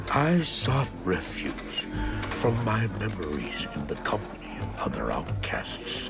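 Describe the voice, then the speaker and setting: An actor playing a haunted, guilt-ridden old outcast in a game cinematic, halting and fearful as he confesses. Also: extremely low pitch, vocal fry, full character voice. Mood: weary, remembering. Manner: halting, fearful, rambling, repeating himself. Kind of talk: dramatic game cinematic narration.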